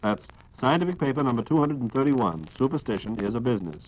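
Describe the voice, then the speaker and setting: An American man hosting a scripted 1940s radio program, speaking in a formal, measured announcer's style. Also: medium pitch, sing-song, a little hiss or hum. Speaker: radio program host reading listener questions. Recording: dull lo-fi 1940s radio recording.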